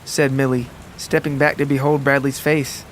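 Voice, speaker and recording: A man narrating a scripted literary story in a measured, deliberate way. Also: medium pitch, little pitch movement, a little hiss or hum. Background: music and rain.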